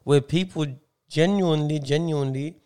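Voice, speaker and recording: A man's voice: on the high side, a little fast, mellow, clear, resonant tone. A man co-hosting a casual discussion, posing and rephrasing probing questions. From Australia, Lebanese background. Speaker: podcast co-host.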